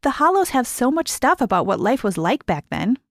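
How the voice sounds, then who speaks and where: A woman's voice: on the high side, big pitch swings, normal speed, no echo, studio quality, pitched above the performer's own voice. A woman voicing a bold, stubborn young girl in a story, insistent and impatient, scheming away. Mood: impatient, determined. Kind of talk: acted dialogue in story narration.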